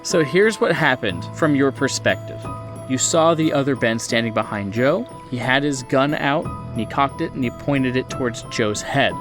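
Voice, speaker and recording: A man narrates a tabletop horror game as its game master. His voice sits at a middle pitch, with deliberate phrasing, and music plays clearly behind him.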